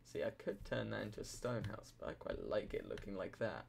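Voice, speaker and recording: Groggy young man with a gravelly morning voice. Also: on the low side, very animated, a little fast, slight background noise. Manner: rambling, drowsy, self-mocking. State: just woken up, deep morning voice. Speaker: gameplay commentator. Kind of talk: casual gameplay commentary.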